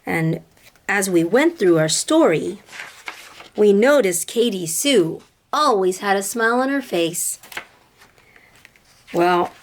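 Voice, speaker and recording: A woman teacher reading a picture book aloud expressively and explaining it to children, with deliberate phrasing and questions. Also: medium pitch, expressive, a little hiss or hum.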